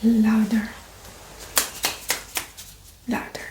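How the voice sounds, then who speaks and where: A woman playing the patient in a soft-spoken ASMR roleplay, giving quiet, terse answers. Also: fairly high pitch, monotone, very slow. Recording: roomy echo, faint background noise.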